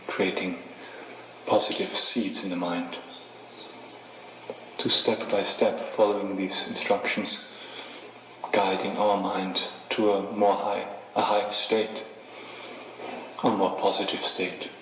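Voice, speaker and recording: A man leading a guided meditation as a teacher, speaking in an unhurried, gently instructing way with pauses. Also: deep voice, little pitch movement. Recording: echoey reverberant room with faint noise.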